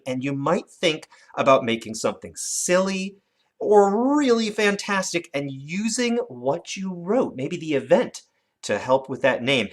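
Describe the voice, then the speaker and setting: Animated teacher with a very lively pitch. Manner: animated, expressive storytelling emphasis. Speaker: elementary teacher. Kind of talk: instructional video lesson for children.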